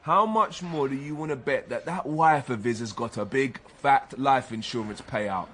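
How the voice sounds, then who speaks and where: A man acting in a radio drama as a brisk, cynical police detective who questions bluntly and dryly. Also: mid-range voice, a bit bright, natural voice.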